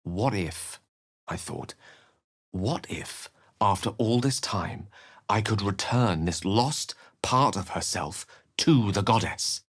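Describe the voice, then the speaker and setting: Male video game wizard, lowish pitch, eloquent, theatrical, wry, romantic, bookish. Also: lively intonation, slow pace, fairly bright tone, exaggerated voice. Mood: tender, regretful. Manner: theatrical, articulate, literary phrasing. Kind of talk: video game character voice acting.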